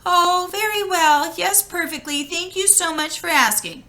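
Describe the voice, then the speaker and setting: A woman's voice: very high-pitched, unhurried, sharp tone, voice pushed up, heavily acted. A woman voicing a polite, gushing young princess in a children's fairy tale. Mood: strained politeness.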